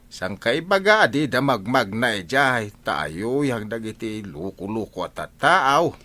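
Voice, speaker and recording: An actor playing an aging farmer, a resigned and grumbling village elder, in an Ilocano radio comedy drama. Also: male voice, mid-range voice, big pitch swings, slow pace, sharp tone, full character voice. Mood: disapproving. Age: older.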